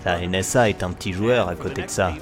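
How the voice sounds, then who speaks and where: A man's voice: low-pitched, fast talker. A man narrating a mock documentary in a grave, conspiratorial manner. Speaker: narrator of a satirical investigation video. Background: background music under the voice.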